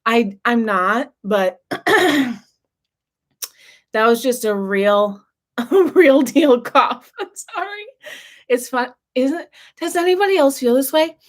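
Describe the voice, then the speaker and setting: Woman beauty vlogger, husky and hoarse, slightly high voice, chatty and casual with throat clearing. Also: lively intonation, takes it slowly, long pauses. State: hoarse, losing her voice. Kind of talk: casual makeup review chat.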